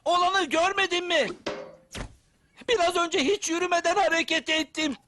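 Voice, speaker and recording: A man voicing a bossy, scheming, excitable cartoon cockroach with a brash, self-important manner and a high cartoon voice. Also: moderate pace, a little hiss or hum. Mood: excited. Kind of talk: animated cartoon dialogue. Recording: music behind the voice.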